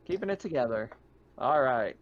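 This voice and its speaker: A man's voice: medium pitch, lively intonation, moderate pace. A man acting as game master, narrating a tabletop game casually over slightly dull, muffled audio.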